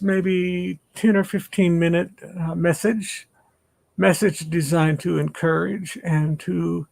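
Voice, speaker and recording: A retired older pastor hosting a casual online chat, rambling with many fillers and restarts. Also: male voice, high-pitched, creaky, a little mellow, no room sound.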